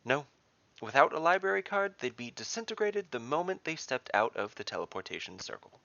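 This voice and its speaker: A man voicing a dry, wry wizard cat in a fantasy audio storybook, deadpan and resigned. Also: mid-range voice, brisk, gravelly, no room sound, muffled recording, voice pushed up, heavily acted. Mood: matter-of-fact warning.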